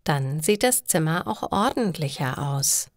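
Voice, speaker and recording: Woman voicing a caring mother, slow and gentle. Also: low voice, expressive, no room sound, studio quality. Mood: practical, explaining. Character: caring, worried, practical mother of a teenage girl. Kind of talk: acted dialogue in a learner's story.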